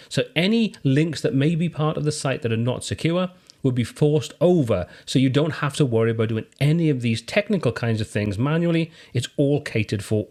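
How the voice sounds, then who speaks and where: Lively British man explaining software steps. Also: mid-range voice. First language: English. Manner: friendly step-by-step explaining, lively intonation. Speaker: tutorial host. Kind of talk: screen-recorded software tutorial.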